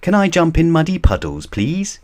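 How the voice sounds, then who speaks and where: A man's voice: on the high side, wildly swooping pitch, normal speed, darkish tone, pitched above the performer's own voice. A man doing an eager little girl piglet. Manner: eager and pleading.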